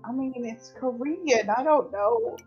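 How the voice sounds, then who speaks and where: A woman's voice: fairly high pitch, unhurried, husky. A woman chatting casually over a game, teasing and sarcastic in her side comments. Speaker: gameplay companion commentator. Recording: muffled lo-fi sound with music behind.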